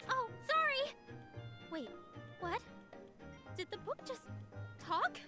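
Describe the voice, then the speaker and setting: High, excitable, self-doubting teenage girl. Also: slow, heavily acted. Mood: startled. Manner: hesitant, easily startled. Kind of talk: anime game voice acting. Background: game music.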